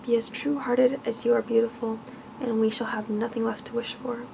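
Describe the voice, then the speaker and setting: A woman voicing a tender, noble young prince in a gentle, solemn manner for a bedtime fairy tale. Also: on the high side, flat delivery, moderate pace, vocal fry, background noise. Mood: tender, pleading.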